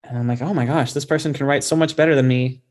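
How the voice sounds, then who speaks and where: Male guest author, mid-pitched, conversational, thoughtful and self-deprecating in an informal interview. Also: fairly even intonation, quick pace, darkish tone.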